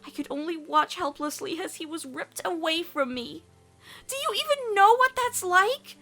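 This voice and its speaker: An anguished cartoon teenage girl, acted by a woman, high in pitch and full of guilt. She is protective and emotionally raw, speaking haltingly as in a dramatic comic dub. Faint music plays under her. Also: animated, pushed and bright, heavily acted. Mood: furious grief.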